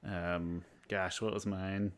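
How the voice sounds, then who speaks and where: Low-voiced man musing in casual chat. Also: level tone, slow pace, a crowd in the background. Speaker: podcast co-host.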